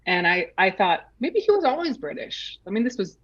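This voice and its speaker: A woman author being interviewed over a video call, telling stories in a relaxed way with hesitations. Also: mid-range voice, normal speed, lo-fi sound. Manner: relaxed anecdotal storytelling with hesitations. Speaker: author guest. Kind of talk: interview storytelling.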